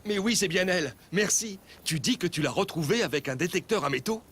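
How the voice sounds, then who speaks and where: A man's voice: high-pitched, lively intonation, fairly quick, a bit bright, slight background noise. A man voicing a friendly racing driver in a children's cartoon, cheerful and explanatory in his delivery. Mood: explaining. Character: friendly adult race car pilot. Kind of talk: dubbed children's cartoon acting.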